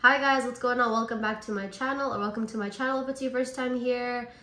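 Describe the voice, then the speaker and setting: A woman from Canada, hoarse and raspy from a cold, chatting casually as a beauty vlogger through a makeup tutorial. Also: fairly high pitch, steady tone, fast talker, echoey room, over loud music. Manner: chatty, says like and honestly a lot.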